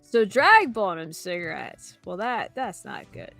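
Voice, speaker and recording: A woman's voice: mid-range voice, very animated, measured pace, vocal fry, pushed and bright, faint music behind. A woman guesting on a live reaction stream, blunt and sarcastic, swearing freely. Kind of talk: casual reaction chat.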